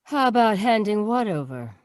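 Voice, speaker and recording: A woman's voice: mid-range voice, very animated, moderate pace, dry recording, clean studio sound, lightly acted. A woman playing an earnest, lovestruck and remorseful young woman in an old-time radio melodrama. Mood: startled, guarded.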